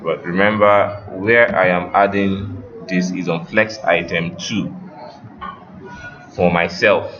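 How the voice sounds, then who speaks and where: A man's voice: deep voice, fairly even intonation, slow pace, lo-fi sound, music in the background. A man teaching a step-by-step coding lesson, giving students instructions and checking that they follow along. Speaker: web development instructor.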